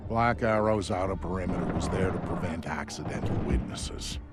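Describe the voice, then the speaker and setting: A man playing a wry, hard-boiled military veteran who narrates a video game story in a gravelly, matter-of-fact way. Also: low voice, moderate pace, close to an everyday voice. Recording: music behind the voice. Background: soundtrack music.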